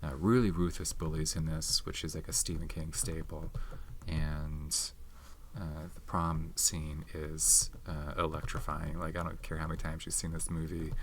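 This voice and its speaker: Soft spoken asmr guy, low voice, kinda hesitant rambly chat, bit of noise w tapping and clicks. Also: little pitch movement, normal speed. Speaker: video host showing movie collection. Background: tapping and handling of movie cases.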